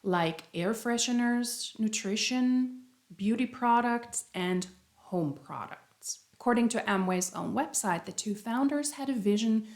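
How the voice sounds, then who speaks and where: A woman's voice: on the high side, unhurried, a little mellow. A woman with a cold and a slightly nasal voice, chatting casually as she explains a topic to her viewers. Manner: chatty, self-deprecating, explains step by step. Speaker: YouTube commentary host. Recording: roomy echo.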